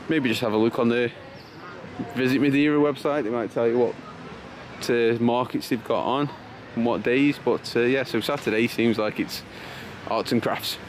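A man in his thirties from Britain, a travel vlogger chatting casually outdoors. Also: medium pitch. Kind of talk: casual vlog chat. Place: outdoors in a city park. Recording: noisy outdoor location sound.

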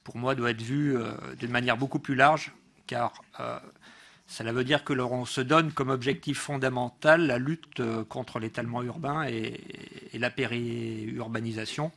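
A man giving a political speech as a local councillor, halting often and correcting himself as he goes. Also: mid-range voice, steady tone, gravelly, fairly bright tone. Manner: hesitant delivery, frequent pauses and self-corrections. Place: council meeting room.

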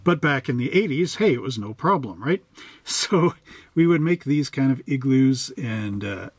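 A man's voice: mid-range voice, lively intonation, a little slow, slightly rough, no echo. A middle-aged man, chatting in a folksy, informal way as he hosts a casual hobby walkthrough. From western Canada. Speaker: host of a model kit channel. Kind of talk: casual unboxing and instruction walkthrough.

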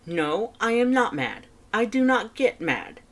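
A woman's voice: a little high, big pitch swings, unhurried, voice pushed up. A woman voicing a proud, competitive male skeleton in a fan fiction audiobook, speaking emphatically and formally with theatrical flair. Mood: indignant.

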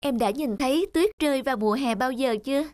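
A woman's voice: high-pitched, fast talker, a little mellow, no echo, close to an everyday voice. An actress playing a despondent, seriously ill young woman in a cartoon drama, with a plaintive, melancholy manner. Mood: resigned, sad. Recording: music behind the voice.

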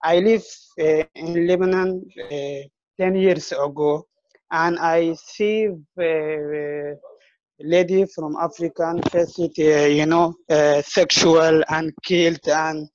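A man's voice: fairly high pitch, steady tone, no room sound. Young man, broken English, dry muffled call line. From Sudan. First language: Arabic. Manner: halting broken English, searching for words. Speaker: audience member asking a question. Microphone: video call.

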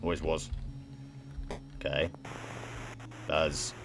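A man's voice: extremely low pitch, flat delivery, drawn-out words, gravelly, noisy background. A young man reading game text aloud and reacting casually, as in a let's play. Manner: stumbles over words while reading. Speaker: gaming video host. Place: small room at home, indoors. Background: game music.

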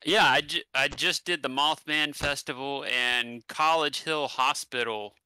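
Bright-voiced West Virginian man, halting. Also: mid-range voice, a little hiss or hum, low-quality recording. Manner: halting, many fillers and restarts. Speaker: guest, paranormal channel creator. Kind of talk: casual conversational interview. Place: home room, indoors. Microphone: headset mic on a video call.

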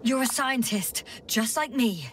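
A woman playing a determined space scientist stranded on a planet, measured and breathy in a dramatic game performance. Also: fairly high pitch, animated, far from the mic, noisy background. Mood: calming.

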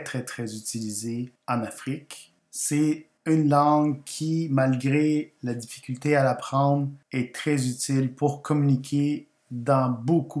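A man from Guatemala whose first language is Spanish, hosting a language video and explaining things in a measured way, often repeating words for emphasis. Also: mid-range voice, darkish tone, reverberant. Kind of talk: unscripted explainer over slides.

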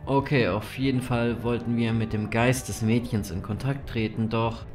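An actor playing a calm, laconic ferryman hero, telling and acting a noir audio drama. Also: medium pitch, normal speed, faint music behind, lightly acted. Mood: casual. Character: male grim-reaper ferryman, laconic city noir hero.

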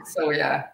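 A woman's voice: deep voice, vocal fry, a bit bright, slight background noise. Echoey young woman chatting informally. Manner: informal, slightly halting, giggly. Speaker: cricket player and coach. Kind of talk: casual interview chat. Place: small indoor room, seated on a couch. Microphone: shared video call microphone, at some distance. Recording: roomy echo on a video call.

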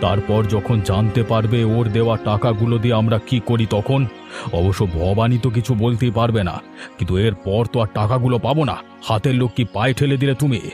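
A man's voice: medium pitch, fairly even intonation, a little fast, over music, close to an everyday voice. A man voicing a greedy, bullying village uncle with a confrontational, scolding manner in a melodramatic cartoon. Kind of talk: melodramatic Bengali moral-story cartoon acting.

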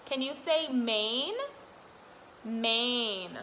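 A woman's voice: on the high side, animated, very slow, pushed and bright. A young adult woman reading aloud and teaching small children in a sing-song way, asking simple questions. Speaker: toddler classroom helper. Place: indoor classroom, sitting on the floor. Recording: roomy echo, faint room noise.

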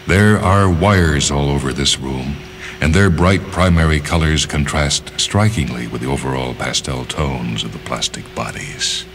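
A man narrating a literary short story from a script, with measured, deliberate phrasing and dramatic pauses. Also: very deep voice, noisy background.

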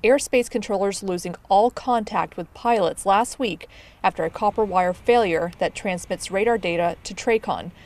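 A woman's voice: medium pitch, normal speed, darkish tone. A woman reporter reading scripted narration for a television news package.

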